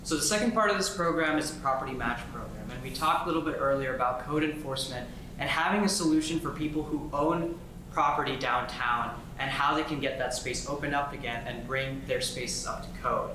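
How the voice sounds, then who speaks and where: A man's voice: mid-range voice, a little fast. A young man from North Carolina giving a student project presentation. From North Carolina, United States. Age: young adult. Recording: very echoey room with clear noise.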